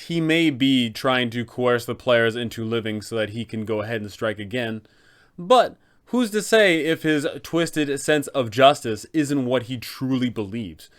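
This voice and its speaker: The kind of man heard hosting an online tabletop roleplaying guide, reading scripted advice to game masters in a mid-range voice. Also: mid-range voice.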